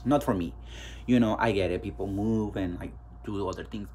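A young man with a Mexican accent hosts his own podcast in a casual, unfiltered monologue. He talks bluntly, peppering his talk with fillers and swearing, in a somewhat low voice. A faint hum sits behind him. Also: steady tone, normal speed, a little mellow. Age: 20s. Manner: candid and blunt, swears freely, many fillers. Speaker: podcast host.

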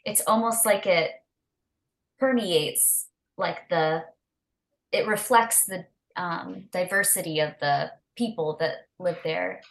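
A woman's voice: on the low side, slow, vocal fry. A woman hosting an interview, asking questions and encouraging her guest with frequent affirmations. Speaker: interviewer host. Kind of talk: conversational interview.

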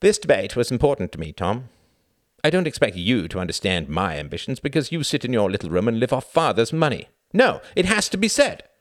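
A man's voice: on the low side, wildly swooping pitch, moderate pace, fairly bright tone, no echo, clean studio sound, voice pushed up. A man voicing a haughty, spiteful, well-educated young upper-class brother in an audiobook, theatrical, sneering and condescending. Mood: cold fury. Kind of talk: audiobook character acting.

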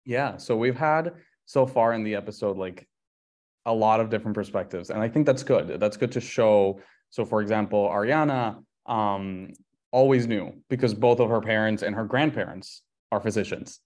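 Low-voiced male podcast host. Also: darkish tone. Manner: chatty, rambling questions. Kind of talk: interview hosting.

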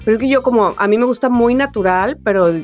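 A woman with a Mexican accent and a somewhat high voice chats as a podcast co-host. Her talk is colloquial and opinionated, over faint background music. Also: fast, a little mellow. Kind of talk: casual chat.

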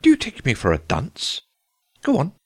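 A woman voicing a pompous, irritable king, imperious and sharp, in a storybook reading. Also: extremely low pitch, wildly swooping pitch, moderate pace, husky, pitched above the performer's own voice, full character voice. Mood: sharp, dismissive.

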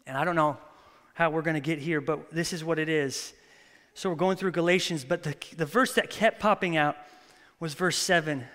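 Brief: thirties male pastor, high bright voice, animated storyteller, church reverb. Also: moderate pace. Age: 30s. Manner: animated, storytelling with acted-out quotes. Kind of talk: sermon with prayer and stories. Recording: long reverb of a church hall.

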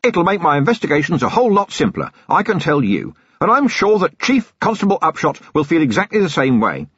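A tough middle-aged police commander, as a male narrator puts him on speaks in a husky, stern voice with plenty of lift and swing. He is menacing and dryly sarcastic. The sound is dry and slightly dull. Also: medium pitch, sing-song, normal speed, no echo. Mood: hearty.

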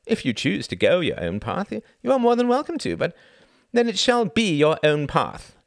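A man reading aloud, voicing a cool, wry male British diplomat who speaks in a measured, mildly condescending way. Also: fairly high pitch, wildly swooping pitch, gravelly, no room sound, studio quality, pitched above the performer's own voice, full character voice.

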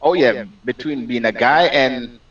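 A man in his thirties with a Jamaican accent, a guest in a casual conversation, speaking in a laid-back way that comes in quick bursts. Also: mid-range voice, fairly bright tone, far from the mic, muffled recording.

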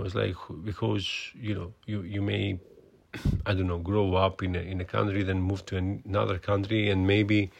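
Gravelly Greek-accented man, low voice. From Greece. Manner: hesitant, many fillers and restarts. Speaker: podcast host. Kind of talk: solo podcast monologue.

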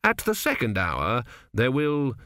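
A man voicing a fussy, obsequious male high priest, formal and plaintive, in a storybook reading. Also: huge pitch swings, measured pace, bright, forceful tone, no echo, clean studio sound, exaggerated voice. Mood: formal, officious.

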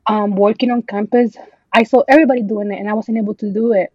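A woman's voice: mid-range voice, steady tone, fast, darkish tone, lo-fi sound. A woman in her twenties from El Salvador, a podcast guest chatting casually with many ums and bits of Spanish mixed in.

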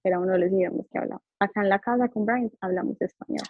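A woman's voice: medium pitch, fast talker. Woman speaking over a tinny line. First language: Spanish. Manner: animated, acts out quoted dialogue. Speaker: psychologist and behavioral therapist guest. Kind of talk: casual interview storytelling.

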